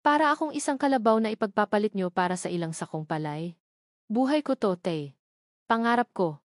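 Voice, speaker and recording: A woman's voice: mid-range voice, lively intonation, a little fast, no room sound, clean studio sound, close to an everyday voice. A woman voicing an anguished, pleading young daughter in an audio drama story.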